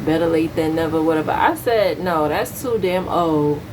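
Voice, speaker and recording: Candid American woman, low-pitched, noisy recording. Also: moderate pace. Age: 30s. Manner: candid, slangy, opinionated. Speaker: vlogger. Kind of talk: casual chat to camera.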